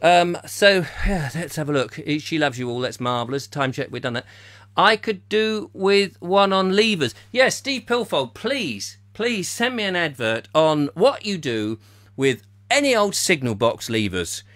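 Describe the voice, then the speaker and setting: A man hosting a comic live chat show, playful and theatrical, slightly hoarse, slipping into silly voices. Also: on the high side, big pitch swings, a little slow, a bit bright, no room sound. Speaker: comedy chat show host.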